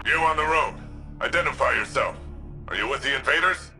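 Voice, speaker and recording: A man voicing a gruff, battle-hardened city defender in a sci-fi video game, gravelly and brisk, heard over a radio. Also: medium pitch, bright, forceful tone, faint music behind. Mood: guarded, challenging.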